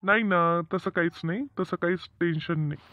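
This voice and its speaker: High-voiced, reassuring village doctor played by a man. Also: fairly quick, pushed and bright, no room sound, muffled recording. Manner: reassuring, repetitive, matter-of-fact. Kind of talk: amateur cartoon comedy dub.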